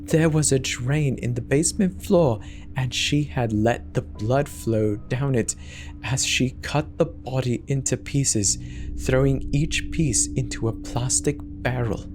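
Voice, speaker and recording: A man's voice: medium pitch, slow pace, darkish tone, soft background music. A man giving a dramatic scripted narration of a horror story.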